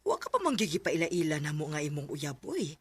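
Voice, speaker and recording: A woman's voice: deep voice, huge pitch swings, fairly quick, slight background noise. An actress playing a concerned, nagging middle-aged mother in a Filipino radio drama, with a Bisaya accent. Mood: prying, teasing.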